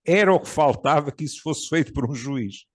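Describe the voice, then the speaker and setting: A man in his seventies with a European Portuguese accent, telling stories in a relaxed conversation, reflective and pausing often. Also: on the high side. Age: 70s. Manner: storytelling, reflective, with frequent pauses and fillers. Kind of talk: casual conversation.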